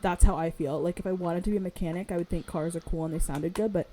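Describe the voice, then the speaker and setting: A woman in her twenties co-hosting a podcast, chatting in a rambling, animated way, her voice raspy today. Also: lowish voice, fast talker, slight background noise. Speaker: podcast co-host. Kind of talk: casual chat.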